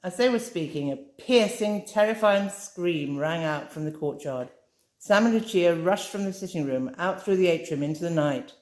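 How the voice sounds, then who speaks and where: An author reading her own crime novel aloud in a measured, dramatic storytelling manner. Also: fairly low pitch, animated, a little mellow. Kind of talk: fireside audiobook reading. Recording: roomy echoey room.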